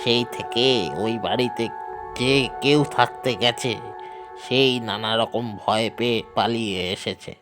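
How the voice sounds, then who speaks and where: An actor playing a grave local man, recounting a haunted house's history in a cautionary, deliberate way for an audio horror story. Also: mid-range voice, over music, natural voice. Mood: grave, warning.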